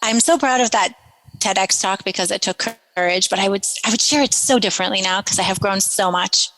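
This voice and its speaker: A woman from Iran, a podcast guest telling her story in an animated way, often repeating words and gasping for emphasis. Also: mid-range voice, fairly quick, big-room sound, slight background noise, poor audio. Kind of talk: storytelling interview.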